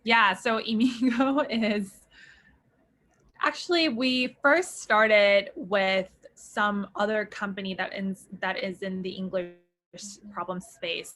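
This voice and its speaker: A woman's voice: medium pitch, fairly bright tone. A young woman from South Korea, a startup founder answering interview questions in a chatty way with many fillers and restarts.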